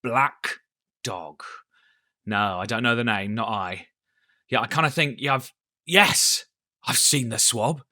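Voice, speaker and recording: Brief: a man doing a cunning old one-legged pirate, loud and bright, salty sailor talk. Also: mid-range voice, slow, pauses often, dry recording, clean studio sound. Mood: excited.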